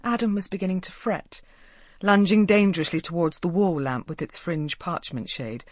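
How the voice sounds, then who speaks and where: A woman's voice: fairly low pitch, normal speed, dry recording, studio quality. A woman reading scripted story narration as an audiobook narrator.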